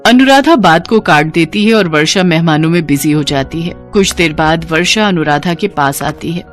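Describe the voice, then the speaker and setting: A woman's voice: low voice, normal speed, faint music behind. A woman reading scripted narration for a moral story.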